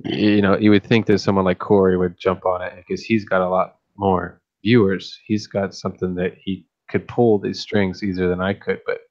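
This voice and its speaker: A man with a deep voice who streams about fish keeping, chatting casually late at night and thinking aloud with many restarts. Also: level tone, moderate pace, hardly any pauses, a little mellow, muffled recording.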